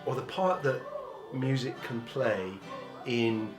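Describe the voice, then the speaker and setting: A man speaks in a thoughtful, halting way, as if reflecting in an interview. His medium-pitched voice carries the echo of the room. Guitar music plays clearly behind him. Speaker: theatre musician leading workshops. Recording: roomy echo, music underneath.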